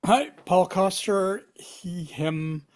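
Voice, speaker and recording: A man's voice: high-pitched, drawn-out words. A man giving a casual self-introduction as an actor in a hesitant, wry way. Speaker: actor at a table read.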